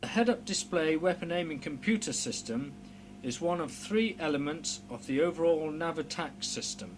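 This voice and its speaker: Formal male training-film narrator, noisy hum. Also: animated. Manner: formal, measured, precise explanatory delivery. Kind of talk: scripted instructional narration. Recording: clear background noise with low hum.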